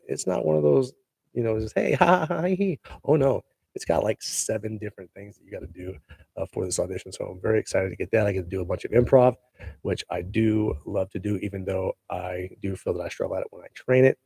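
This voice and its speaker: A man's voice: lowish voice, creaky, warm tone, slightly rough, no room sound, low-quality recording. A man who is an actor hosting his own show, chatting casually to viewers in a laid-back, slangy way that hypes up his news, speaking into a handheld mic held close to his face.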